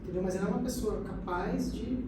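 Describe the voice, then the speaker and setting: A woman's voice: medium pitch, fast talker, heavy background noise. Earnest woman, echoey distant mic. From São Paulo state, Brazil. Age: 30s. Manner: earnest, explanatory, many "então, assim" fillers. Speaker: podcast guest. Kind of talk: personal testimony in casual chat.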